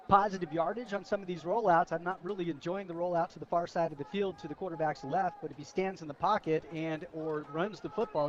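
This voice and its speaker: An American man giving conversational color commentary and analysis on a high school football radio broadcast. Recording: dull, lo-fi outdoor radio broadcast.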